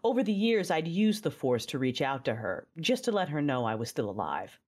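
Audio drama lead: hardened Sith mother, low-voiced, dramatic first-person narrator. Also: sing-song, brisk, darkish tone. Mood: measured, brooding. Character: hardened, ruthless Sith mother recounting her life.

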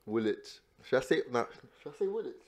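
A man's voice: mid-range voice, big pitch swings, brisk, darkish tone. A young man, born in Nigeria and raised in America and Nigeria, co-hosting a casual podcast chat. He stammers, repeats words and laughs often. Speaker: podcast co-host.

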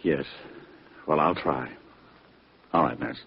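An actor playing a wisecracking, hard-boiled private detective with a dry, flippant manner in 1940s radio style. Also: male voice, rumbling low, takes it slowly, gravelly, full character voice. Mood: concerned, steady. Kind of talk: 1940s radio detective acting and first-person narration. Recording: muffled, noisy old radio recording.

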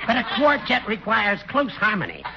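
A man's voice: sits high, wildly swooping pitch, moderate pace, creaky, full character voice. An actor playing a sly, teasing judge in a 1940s radio sitcom, needling his rival with a smug cackle. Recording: dull old radio broadcast with faint hiss.

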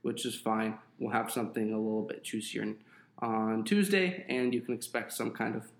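Gravelly man in an echoey room. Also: lowish voice, normal speed. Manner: relaxed, rambling with fillers. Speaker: podcast co-host. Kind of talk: casual podcast chat.

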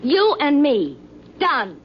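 A woman's voice: high-pitched, wildly swooping pitch, slow, exaggerated voice. An actress playing a bitter, scornful boxer's girlfriend with an American accent, curt and sarcastic in a 1950s radio drama. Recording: muffled, noisy old radio broadcast.

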